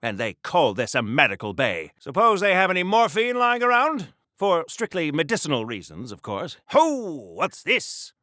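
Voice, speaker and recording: An old-fashioned video game superhero, earnest and a little awkward. His voice sits fairly high and swings widely in pitch, with a bright, forceful ring. He chatters primly in quaint mid-century exclamations, recorded close and dry. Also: no room sound, full character voice. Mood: musing, then triumphant. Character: earnest, awkward, prudish old-time golden age superhero.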